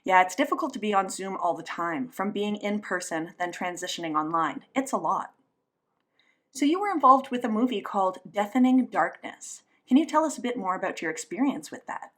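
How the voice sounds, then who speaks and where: A woman's voice: medium pitch, animated, brisk. A woman gives a spoken interpretation of a signed interview, working as an interpreter. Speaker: sign language interpreter voicing an interview.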